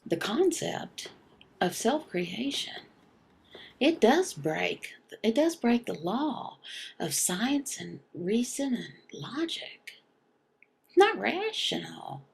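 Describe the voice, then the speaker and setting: A woman giving an explanatory religious lesson in short, emphatic, halting phrases, often asking and answering questions. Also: a little high, sing-song, vocal fry, slightly rough. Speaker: teacher voicing a signed religious lesson.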